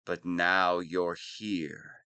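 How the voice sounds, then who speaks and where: A man's voice: low-pitched, level tone, extremely slow, vocal fry, pushed and bright, clean tone, no echo, full character voice. A man playing a theatrical wannabe supervillain in an audio roleplay, pompous and smug with a petulant, whiny streak. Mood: smug, menacing. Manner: pompous monologuing that slips into whining. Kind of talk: scripted audio roleplay monologue.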